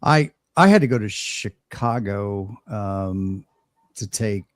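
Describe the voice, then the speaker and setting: An older man reminisces casually on a ham radio show. His voice sits fairly low, and he halts often, restarting and repeating his words. The recording is dry and close. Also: animated, no echo. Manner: halting, stammers and repeats words. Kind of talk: casual chat.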